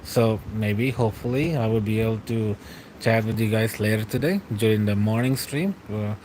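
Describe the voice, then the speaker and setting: A young man streaming late at night from home, answering live chat in a relaxed, rambling way, often laughing and trailing off. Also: lowish voice, normal speed, a little hiss or hum, rough recording.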